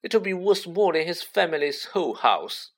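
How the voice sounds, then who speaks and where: A man's voice: on the high side, sing-song, measured pace, slightly rough, pitched above the performer's own voice, exaggerated voice. Man playing a sneering, smug schoolboy bully. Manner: sneering, scathing, mocking. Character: scornful, jeering schoolboy bully, spoiled and smug.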